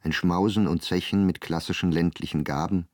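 A composed male narrator played by an actor with a low voice in a very dry recording. He reads literary prose for a radio play, measured and gently ironic. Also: steady tone, no room sound, natural voice. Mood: measured, wry.

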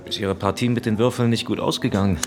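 A man's voice: lowish voice, fairly even intonation, fast, background noise, lightly acted. A man playing a matter-of-fact, sceptical bartender in a radio drama, with a dry, questioning manner.

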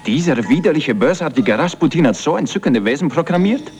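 An actor playing an excitable, sentimental Russian space officer in dubbed science-fiction television. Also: male voice, a little high, brisk, faint music behind. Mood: amazed, smitten.

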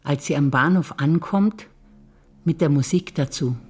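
A female stage actor reciting personal memories in a calm, reflective way, over music. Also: low-pitched, measured pace.

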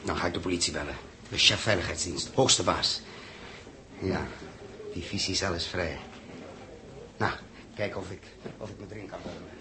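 A low-pitched, steady crew leader in a science fiction radio play, acted by a man. He has a Netherlands Dutch accent and takes charge with brisk explanations. The old radio recording carries a faint hiss. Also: close to an everyday voice. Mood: wary. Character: Joost, steady male space pilot and crew leader. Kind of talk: 1960s Dutch radio drama acting. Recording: hissy 1960s radio drama recording.

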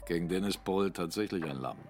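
A man's voice: deep voice, fairly quick, darkish tone. An actor playing a blunt, hearty male expedition leader in a German radio play, exclamatory and commanding. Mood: wry, blunt.

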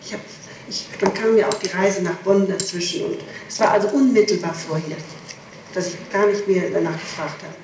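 A middle-aged woman answering questions in an interrogation, halting, repeating words and breaking off her sentences. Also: lowish voice, fairly even intonation, darkish tone. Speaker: person questioned in a murder investigation. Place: echoey room, away from the microphone. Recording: echoey, noisy, distant 1960s tape recording.

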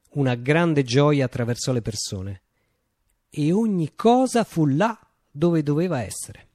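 A man's voice: medium pitch, darkish tone, dry recording, clean studio sound, lightly acted. Man playing a declamatory, swooping revolutionary visionary. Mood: visionary, solemn. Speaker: actor performing a radio play. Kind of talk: theatrical radio monologue.

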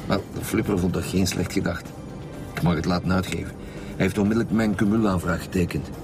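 An actor with a Flemish accent playing a calm, shrewd, dryly needling chief police inspector in a radio drama. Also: male voice, deep voice, fairly quick, music in the background. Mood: brisk. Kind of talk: radio drama acting in Dutch.

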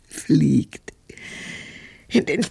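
An actor voicing a dreamlike radio drama character in a breathy, poetic, fragmentary murmur. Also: mellow. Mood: dreamy, hushed. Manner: slow, fragmentary, poetic delivery.

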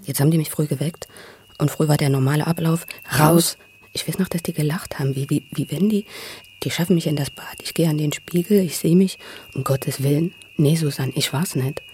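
A man, probably middle-aged, tells his own story in a husky voice, halting and repeating words with intensity. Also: a little high, fairly even intonation, darkish tone, slight background noise. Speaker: interviewee telling his life story. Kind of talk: spontaneous, emotional personal storytelling.

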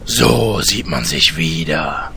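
A gruff orc warlord in a fantasy parody radio play. His voice is extremely low and full of gravel, with a forceful, threatening edge and pompous delivery. Faint noise sits behind it. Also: lively intonation, heavily acted. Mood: menacing. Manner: pompous, menacing, slow. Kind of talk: parody fantasy audio drama.

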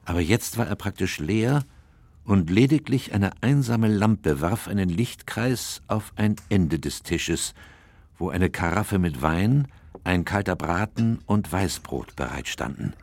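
Husky, grave gentleman doctor storyteller. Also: deep voice, expressive, unhurried, no echo, heavily acted. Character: sober gentleman doctor narrating his detective memoir. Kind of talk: radio drama narration and dialogue.

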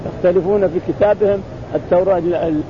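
A man's voice: steady tone, quick pace. A man teaching a religious text, explaining it in spoken commentary and repeating phrases as he works through each point. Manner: repeats phrases, restarts words, acts out quoted exchanges. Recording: muffled recording with loud rumbling noise.

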